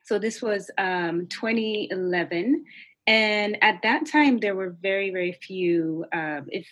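Woman from Kenya, podcast guest, mid pitch, dry close sound, conversational storytelling. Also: unhurried, a bit bright, no echo. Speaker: guest entrepreneur.

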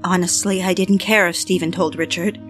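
A woman's voice: lowish voice, steady tone, normal speed, music in the background, close to an everyday voice. An actress playing an anxious, lovelorn woman with wounded pride in a period melodrama, genteel and dramatic. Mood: sad, reflective. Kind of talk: period melodrama acting with first-person narration.

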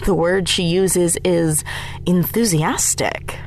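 A woman's voice: lowish voice, takes it slowly, darkish tone, slight background noise. A woman acting in an audio drama as a capable, matter-of-fact team member on a covert mission, with dry humour. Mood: wry, practical. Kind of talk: naturalistic fiction podcast acting.

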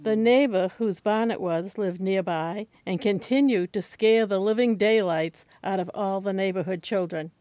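An older woman from Boston with a Boston accent, reading her own personal essay aloud at a senior center in a measured, wry way. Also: medium pitch, darkish tone, dry recording.

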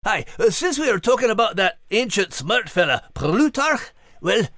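A man voicing a jolly, rough-hewn dog who jokes in a folksy way with a Scottish accent, in a children's audio story. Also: high voice, sing-song, normal speed, fairly bright tone, no echo, studio quality, heavily acted. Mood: jolly, teasing. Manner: folksy, joking.

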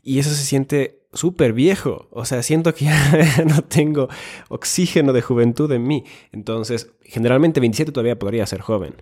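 A man's voice: medium pitch, normal speed, a little mellow. A man, co-hosting a casual, conversational podcast. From born in Finland, has lived in Ecuador. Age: 20s. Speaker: podcast co-host.

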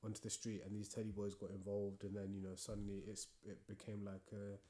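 A man's voice: fairly low pitch, completely flat delivery, brisk, mellow. A young man telling a story in a casual podcast chat, with lots of fillers and restarts. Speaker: podcast co-host. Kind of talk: casual conversation. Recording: echoey room.

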